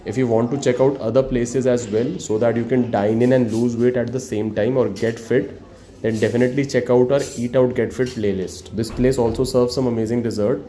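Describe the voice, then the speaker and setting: A man's voice: mid-range voice, fairly even intonation, brisk, mellow. A man hosting a food vlog, explaining the calories of dishes plainly as he films them in a restaurant. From India. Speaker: food vlogger. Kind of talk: casual food review narration. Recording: restaurant background noise.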